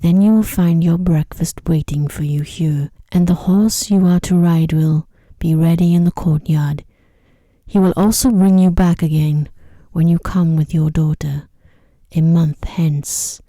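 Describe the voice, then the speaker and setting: Woman as storybook beast, male, commanding, stern, hushed, very dark tone, dry close sound. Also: deep voice, steady tone, measured pace, dry recording. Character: gruff, commanding male beast of a fairy tale.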